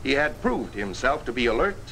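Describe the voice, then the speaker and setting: A man reading scripted 1950s training film narration in a formal, earnest, measured storytelling manner. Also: mid-range voice, very animated, a crowd in the background. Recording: noisy 1950s film soundtrack.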